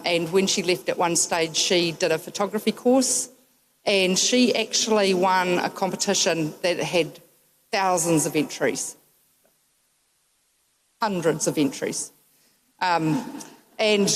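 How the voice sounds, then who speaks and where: A woman's voice: on the low side, poor audio. A woman with a New Zealand accent giving a memorial tribute, telling wry, humorous anecdotes with frequent ums. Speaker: eulogy speaker.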